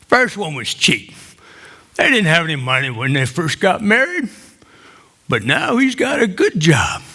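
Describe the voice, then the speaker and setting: Man acting a high-voiced, slow old widower. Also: bright, forceful tone, exaggerated voice. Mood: wry, folksy. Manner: unhurried storytelling with pauses for laughs. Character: chatty, cheeky, tender elderly widower in his nineties. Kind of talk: theatrical comic monologue on stage.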